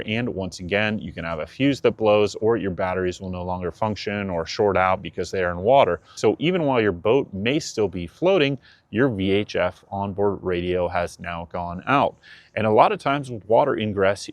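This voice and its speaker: Male advice presenter, lowish pitch, dry sound. Matter-of-fact, instructive, conversational explainer. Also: dry recording. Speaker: presenter of a boating advice channel. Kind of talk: explanatory advice talk to viewers.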